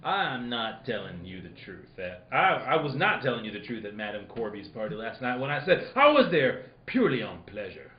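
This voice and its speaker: A man playing a cheeky, bumbling British detective in a comic radio play, halting and mock-formal, with a British accent. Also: mid-range voice, sing-song, natural voice. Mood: hesitant, mock-formal. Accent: put-on British. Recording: dull, roomy live recording.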